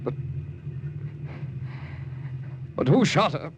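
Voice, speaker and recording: An actor playing an earnest, easily shocked corporal with a flustered, stammering manner in a 1940s radio drama. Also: male voice, on the high side, very animated, brisk, noisy background. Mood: stunned.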